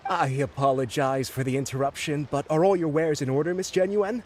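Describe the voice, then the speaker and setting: A man's voice: fairly high pitch, steady tone, a little fast, darkish tone, a little hiss or hum, close to an everyday voice. An actor playing a courteous, slightly flustered male office clerk in a video game, speaking formally. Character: polite, harried male logistics office clerk. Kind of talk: video game character acting.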